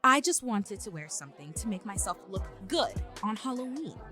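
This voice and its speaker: A woman's voice: mid-range voice, sing-song, normal speed, pushed and bright. A woman playing an anxious young partygoer turning into a mermaid, reading a horror story in the first person over music. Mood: uneasy, dramatic.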